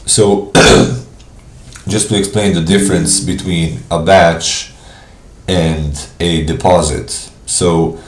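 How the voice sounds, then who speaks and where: Stuffy-nosed man with a deep voice. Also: steady tone, echoey room, slight background noise. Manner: casual, thinking aloud with pauses. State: congested from a cold. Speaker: software trainer. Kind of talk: live screen-share walkthrough.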